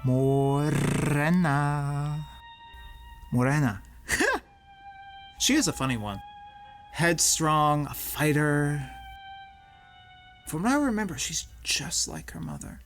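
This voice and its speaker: A man's voice: on the high side, lively intonation, takes it slowly, long pauses, soft background music. A man playing a smug, scheming boss in a drama, mocking and politely menacing in his delivery. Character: smug, scheming male boss who manipulates and blackmails. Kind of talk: acted dramatic dialogue.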